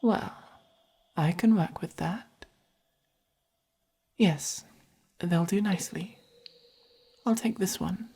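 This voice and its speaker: A haughty, possessive vampire with a caring streak, played by a woman in an ASMR roleplay. The English-accented voice is mellow and fairly low, soft and breathy. Words come slowly and deliberately, with quiet command. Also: heavily acted. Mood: curt, commanding. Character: haughty, possessive but caring vampire buying a human pet.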